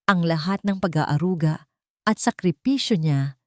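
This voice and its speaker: Woman telling a dramatic love story, scripted, lowish voice, really dry recording. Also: lively intonation, a little slow, dry recording.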